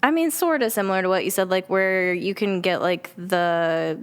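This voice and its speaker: A woman's voice: lowish voice, moderate pace, clear, resonant tone. A woman who is a theatre actor, chatting casually as an interview guest, informal and peppering her talk with "like". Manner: informal, hedging with frequent "like". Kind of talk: casual chat.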